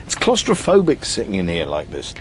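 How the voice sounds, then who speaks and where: A sceptical British police detective who complains bluntly and wearily. His voice is very deep and moves expressively, with some background noise in this radio drama. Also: wildly swooping pitch, a little fast. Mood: irritable. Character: grumbling, sceptical male police detective, uneasy about guns. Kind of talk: naturalistic radio drama acting. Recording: faint to clear background noise.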